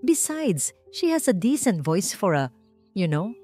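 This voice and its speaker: A woman's voice: mid-range voice, very animated, unhurried, mellow, pitched above the performer's own voice. A woman voicing a cruel, haughty rich bride in an audio drama, taunting and falsely sweet, over music. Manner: taunting, switches to sugary sweetness.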